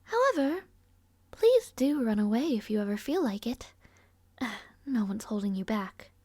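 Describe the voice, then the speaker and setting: A woman's voice: fairly high pitch, very animated, measured pace, darkish tone, no room sound. A woman playing a rude, arrogant but somewhat shy young princess in an audio roleplay, speaking in a formal, languid, world-weary way. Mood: demanding, aloof. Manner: haughty, languid, sighing, formal wording. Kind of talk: scripted audio roleplay acting, addressing the listener. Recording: close, dry, no ambience.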